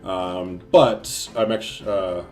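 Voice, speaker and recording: A man's voice: low-pitched, fairly even intonation, slow, darkish tone, faint music behind. A man co-hosting a casual gaming chat, upbeat and talkative, leaning on "like" and "um". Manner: upbeat, laughs often, lots of "like" and "um". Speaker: co-host of a gaming series.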